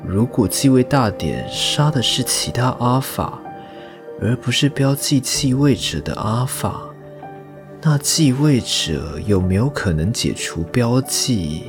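A man plays a courteous male university professor in an audio drama, thoughtful and measured. His has a lively, varied melody at a middling pitch, with music clearly audible underneath. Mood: pondering. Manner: measured, scholarly, pondering.

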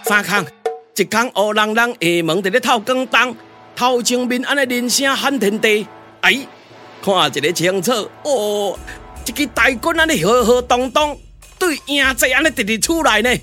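A chattering, clownish camp sentry. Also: male voice, very high-pitched, moderate pace, sharp tone, faint music behind. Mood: alarmed. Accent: Taiwanese. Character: chatty, comic male camp patrol sentry. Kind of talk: Taiwanese glove-puppet radio drama acting.

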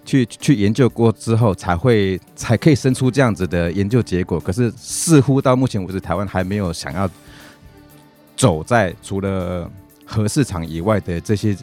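A low male voice with a Taiwanese Mandarin accent talks casually as a radio co-host. He tends to repeat words and circle back while he thinks, over soft background music. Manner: halting, repeats phrases while thinking aloud. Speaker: radio talk show co-host. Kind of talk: casual conversational discussion.

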